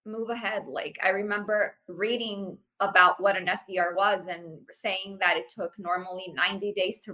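Bright-voiced woman in her thirties. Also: medium pitch, rough recording. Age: 30s. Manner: animated, uses "like" often. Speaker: podcast guest, sales manager. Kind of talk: casual interview chat.

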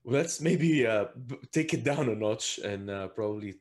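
A man hosting a casual conversation, hesitant and filling his pauses with ums while he gently steers the talk. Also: mid-range voice, animated. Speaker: podcast host.

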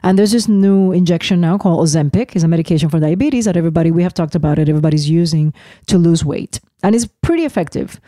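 A woman from Puerto Rico co-hosts a casual podcast, her voice low and warm. She argues with passion and talks in rapid bursts. The sound is close and dry. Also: dry recording. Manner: impassioned, speaks in rapid bursts. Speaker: podcast co-host. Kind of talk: casual chat.

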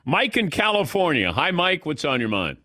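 A middle-aged man hosting a sports talk radio show, chatting with callers and crew in a relaxed, self-deprecating way. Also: a little high, normal speed, no room sound, studio quality.

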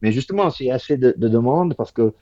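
A man from Ireland, an English speaker talking as a podcast guest in relaxed, chatty French. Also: mid-range voice, a little fast, dull audio. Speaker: guest, automotive engineer. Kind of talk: podcast interview.

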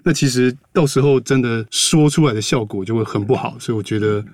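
Man, mid-pitched, dry sound. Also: fairly quick, a little mellow, dry recording. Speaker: guest translator. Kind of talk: casual podcast discussion.

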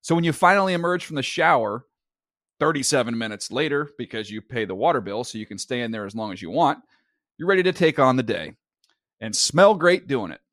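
Guy doing an ad read, upbeat and smooth, dry studio sound. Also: medium pitch, lively intonation, fairly quick, fairly bright tone, no echo. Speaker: ad announcer. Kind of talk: scripted ad read.

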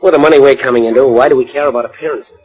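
An actor playing a callous, greedy, sneering young heir in 1940s radio drama style. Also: male voice, mid-range voice, fairly even intonation, racing, darkish tone, natural voice, poor audio. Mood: smug. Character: callous, greedy, sneering son of a rich family. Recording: old 1940s radio recording with music.

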